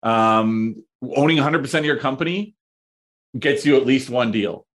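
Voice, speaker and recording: A man in his thirties, chatting as a podcast guest, talking in a halting way with lots of fillers and restarts. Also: medium pitch, a bit bright. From Canada. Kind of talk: casual conversation.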